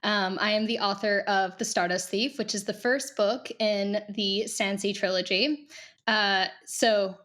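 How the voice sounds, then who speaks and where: A young woman from Kuwait talks as a guest author on a video call. She chats freely with many fillers and laughs easily, in a voice of middle pitch. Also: fairly even intonation, a little slow, fairly bright tone. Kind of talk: casual interview chat.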